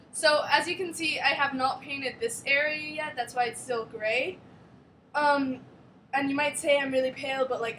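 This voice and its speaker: A woman's voice: high-pitched, pushed and bright, a little hiss or hum. A young woman from Switzerland chatting casually to camera in a rambling, filler-heavy way, sounding strained while doing a headstand. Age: young adult. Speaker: vlogger. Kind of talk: casual chat.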